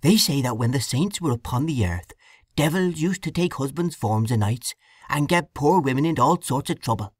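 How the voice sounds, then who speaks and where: A woman's voice: extremely low pitch, expressive, moderate pace, breathy edge, no echo, studio quality, voice pushed up, full character voice. A woman voicing a kindly old widow with a rural English dialect, homely and soothing.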